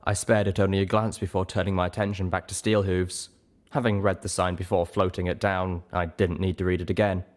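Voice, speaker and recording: A man reading a story aloud as an audiobook narrator, in an expressive scripted style. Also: low-pitched, brisk. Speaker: audiobook reader.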